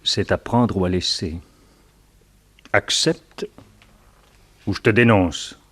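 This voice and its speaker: Deep-voiced sly blackmailer. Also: male voice, slow pace, very noisy. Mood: threatening. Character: scheming male blackmailer, sly, taunting crook. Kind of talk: French radio crime drama acting.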